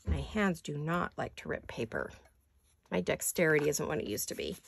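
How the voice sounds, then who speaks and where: An older woman with a raspy voice chatting casually in her home craft studio, rambling and thinking aloud as she works. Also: low voice, expressive, moderate pace. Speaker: craft vlogger. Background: neighborhood garbage-day banging.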